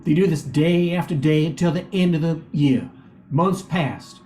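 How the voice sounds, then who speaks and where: A man's voice: on the high side, normal speed, darkish tone, faint music behind. A man reading scripted narration for a radio story. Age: older.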